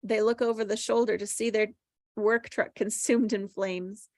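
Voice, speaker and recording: A woman's voice: medium pitch, fairly even intonation, moderate pace, dry recording, clean studio sound. A woman reading screenplay stage directions as plain, descriptive narration at a table read.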